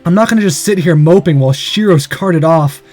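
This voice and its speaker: A man's voice: fairly high pitch, fairly quick, darkish tone, faint music behind, natural voice. Man reading as earnest, determined young game hero. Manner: earnest, dramatic inner monologue. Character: determined, anxious young male visual novel hero.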